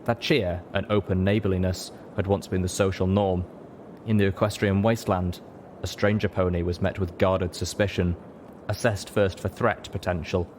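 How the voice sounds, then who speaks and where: A man with a fairly low voice narrates a first-person story. He reads from a script in audiobook style. Clear noise runs behind his voice. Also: flat delivery, normal speed, a little mellow.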